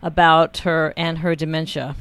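An attentive interview host with a mid-range voice who asks long, careful questions and fills pauses with soft affirmations. The recording is dry, with no room sound. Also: medium pitch, level tone, clean tone, dry recording. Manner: attentive, lots of quiet affirmations. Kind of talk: conversational interview.

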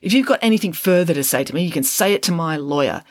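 A man's voice: a little high, lively intonation, racing, dry recording, studio quality, close to an everyday voice. A narrator playing a blustering, haughty businessman. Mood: dismissive. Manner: indignant, dismissive.

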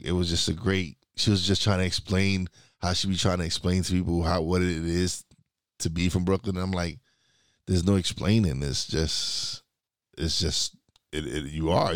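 A man's voice: low voice, no echo, clean studio sound. A man from New York chatting casually over a remote call, rambling and restarting his sentences. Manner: rambling, many restarts, laid-back. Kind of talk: casual podcast chat.

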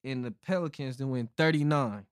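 A man's voice: mid-range voice, normal speed, no echo, clean studio sound. A man in a casual sports chat who argues assertively and keeps repeating his points.

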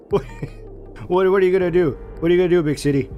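A man's voice: high voice, quick pace, muffled recording, natural voice. A man playing a nervous, placating small-town police colleague in an audio drama, speaking in a hesitant stammer. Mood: mocking, incredulous. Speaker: voice actor. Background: music.

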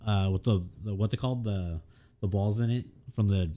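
A man's voice: on the low side, normal speed, warm tone, lo-fi sound. A tired man co-hosting a podcast, rambling in casual chat and often searching for words. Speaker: podcast co-host.

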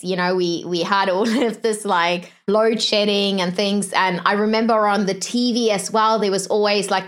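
A woman's voice: medium pitch, a bit bright. Chatty woman interviewer from South Africa. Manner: chatty, full of "like" and "you know". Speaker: interviewer, host. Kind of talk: casual interview chat.

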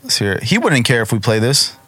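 A man's voice: mid-range voice, very animated, fast, a bit bright, husky, dry recording, clean studio sound. A man co-hosting a podcast in casual chat, joking, swearing and laughing often. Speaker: podcast co-host.

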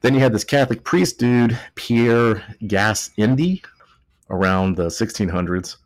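Tipsy Texan man, very deep voice. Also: a little slow, no room sound. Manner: rambling, jokey, self-correcting. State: tipsy from beer. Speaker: podcast host. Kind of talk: casual joking chat.

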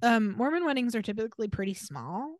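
Higher-voiced American woman, candid podcast chat. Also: big pitch swings, brisk, dry recording, clean studio sound. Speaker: podcast co-host. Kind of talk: casual chat.

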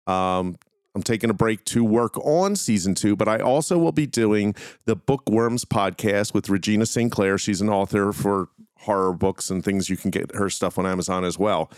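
Guy doing a casual podcast chat, kinda rambling, clear voice, really dry close sound. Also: on the low side, normal speed, darkish tone, dry recording. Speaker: podcast host. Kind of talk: casual solo podcast talk.

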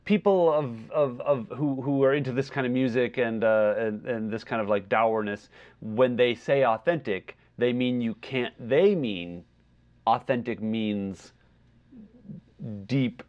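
A man co-hosting a casual discussion podcast, speaking in a tentative, measured and questioning way. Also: mid-range voice, dull audio. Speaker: podcast co-host.

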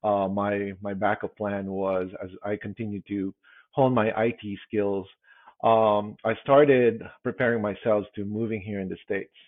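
A man in his fifties, a guest on an interview podcast, answering in a halting way with many pauses and restarts, heard over a tinny remote line. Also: fairly low pitch, level tone, dry recording. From Philippines. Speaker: guest, security executive.

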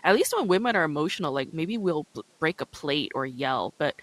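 A woman with a low register voice hosting a podcast in casual chat. She fills her talk with "like" and "you know.". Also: lively intonation, brisk, no echo. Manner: chatty, frequent "like" and "you know". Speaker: podcast host and stand-up comedian.

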